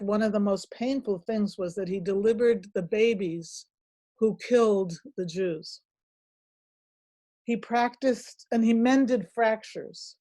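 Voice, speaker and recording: Older woman, former professor, mellow voice, reads from a memoir, unhurried and careful. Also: mid-range voice. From Netherlands. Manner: measured, with frequent ums and pauses. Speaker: retired sociology professor. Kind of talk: speaking and reading from a memoir at a meeting.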